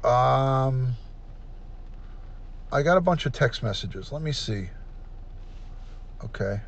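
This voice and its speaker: A middle-aged man hosting a casual livestream, chatty and repetitive, often clearing his throat. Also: mid-range voice, animated, slow pace. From New York. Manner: chatty, repeats himself, frequent throat clearing. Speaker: livestream host, retired detective. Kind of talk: casual livestream chat, reading comments aloud.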